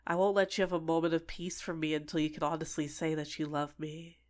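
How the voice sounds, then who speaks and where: A woman's voice: low-pitched, level tone, quick pace, lightly acted. A woman playing an obsessive, sentient dating-sim character in an ASMR roleplay, clingy and coaxing with menacing sweetness. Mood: possessive, menacing. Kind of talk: scripted ASMR roleplay monologue.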